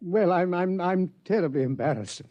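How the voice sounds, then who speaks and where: An actor playing a nervous astronomer who stammers and gets flustered, in 1950s radio drama style. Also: male voice, high-pitched, expressive, normal speed. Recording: dull old radio recording.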